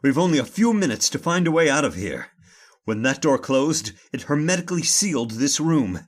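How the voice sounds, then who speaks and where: A man playing an urgent, commanding gentleman master in an old-time radio horror drama. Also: a little high, big pitch swings, normal speed. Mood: tense, urgent. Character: urgent, commanding gentleman trapped with his servant.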